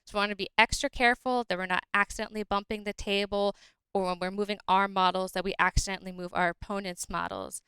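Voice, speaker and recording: Woman walking through game tips, bright crisp voice, dry close mic. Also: mid-range voice, fast, no echo. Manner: steady, orderly explanation with light laughs. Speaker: guest presenter. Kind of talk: explaining tips.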